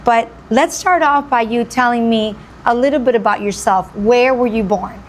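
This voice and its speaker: Effusive woman host, a bit high. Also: moderate pace, a little hiss or hum. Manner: effusive, repeats phrases for emphasis. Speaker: radio show host. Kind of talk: enthusiastic interview.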